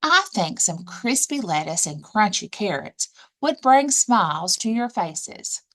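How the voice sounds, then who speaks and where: A woman's voice: mid-range voice, very animated, measured pace, fairly bright tone, rough recording. A woman voicing a prim, helpful but easily distraught female rabbit in a children's storybook.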